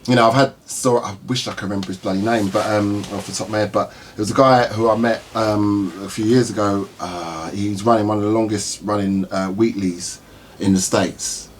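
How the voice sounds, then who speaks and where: A man hosting a podcast in casual chat, agreeable and quick to chime in with "yeah.". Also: on the low side, fairly even intonation, a little hiss or hum. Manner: agreeable, constant "yeah" backchannels. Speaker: podcast host.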